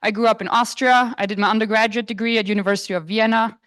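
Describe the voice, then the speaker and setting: A young woman from Croatia, a postdoc, giving a conference lecture in a relaxed, casual manner with frequent fillers. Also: fairly high pitch, fast, dry recording, studio quality. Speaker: astronomy lecturer. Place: lecture hall.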